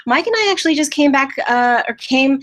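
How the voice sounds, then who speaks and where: A woman's voice: high voice, expressive, brisk, fairly bright tone. A woman in her thirties from California, hosting a live online training, chatting in an upbeat way with many fillers and restarts from a family home. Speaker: online business host. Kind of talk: live-streamed training talk.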